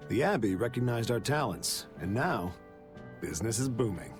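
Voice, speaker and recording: A proud, grateful smith from a video game town, voiced by a man. His voice is medium in pitch, with music clearly playing behind it. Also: animated, normal speed, natural voice. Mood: proud, content.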